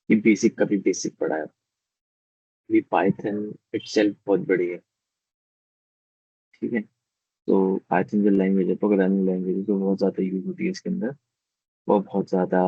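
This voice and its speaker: A hoarse man teaches a live online class in a very low, husky voice with a gravelly edge. He explains things step by step and keeps checking that his students are following. The recording is dry and dull, with a lo-fi sound. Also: dark tone, no room sound.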